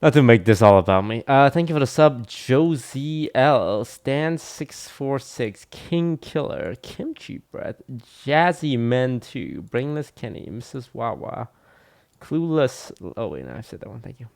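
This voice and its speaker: Slow, gravelly male streamer. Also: medium pitch, big pitch swings, darkish tone. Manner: dry, blunt, teasing remarks. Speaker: streamer reacting to a video. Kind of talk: casual live-stream commentary.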